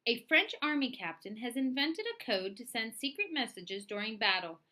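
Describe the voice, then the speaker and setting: A woman's voice: fairly high pitch, very animated, normal speed, bright, forceful tone. A woman voicing a kindly, instructive male school headmaster in a storybook read-aloud.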